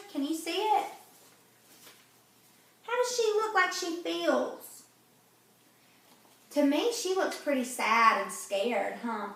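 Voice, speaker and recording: A woman reading a picture book aloud to children in a gentle, teacherly way, pausing to ask them questions. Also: high-pitched, distant mic. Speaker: read-aloud host for children. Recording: very echoey room, off-mic.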